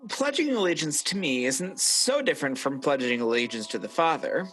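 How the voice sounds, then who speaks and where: An actor playing a proud, scheming angel of light in a radio play, speaking in a smooth, grandiose, theatrical way. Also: male voice, fairly high pitch, lively intonation, moderate pace, a bit bright, low-quality recording. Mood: smooth, persuasive. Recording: radio play recorded over video call, music behind.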